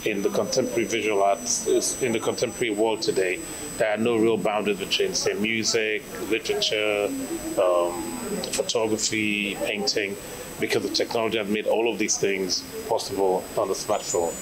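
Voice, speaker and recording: A middle-aged man speaks in an interview in a fairly low voice. A busy crowd murmurs behind him at an indoor event. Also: level tone, moderate pace, rough recording. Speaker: arts foundation director. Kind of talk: interview soundbite. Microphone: handheld reporter microphone.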